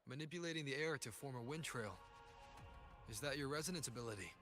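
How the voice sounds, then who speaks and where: An actor playing a calm, curious young hero in a video game, measured and earnest. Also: male voice, mid-range voice, lightly acted. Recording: clear music behind.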